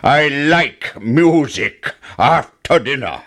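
A man voicing a wicked male giant in a children's story, roaring out drawn-out commands. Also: mid-range voice, expressive, fairly bright tone, pitched above the performer's own voice. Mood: commanding.

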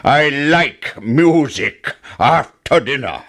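A man voicing a huge, wicked storybook giant who talks in a slow, menacing, commanding drawl. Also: mid-range voice, lively intonation, fairly bright tone, voice pushed up. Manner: slow, menacing, drawn-out delivery.

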